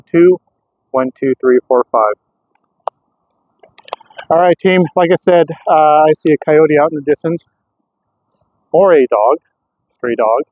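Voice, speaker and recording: A man talking casually as a vlogger during a field test of radio gear, in slightly muffled audio. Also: a little high.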